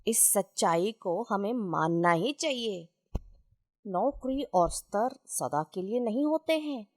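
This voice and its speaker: A woman storyteller voicing a wise, humble old retired gentleman who gives measured, philosophical counsel. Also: medium pitch, expressive, no room sound, studio quality. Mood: philosophical, instructive.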